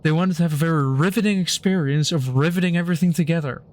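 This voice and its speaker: A young man on a stream, explaining technical points in a dry, deadpan way with puns. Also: on the high side, quick pace, slight background noise. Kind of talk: casual chat while gaming.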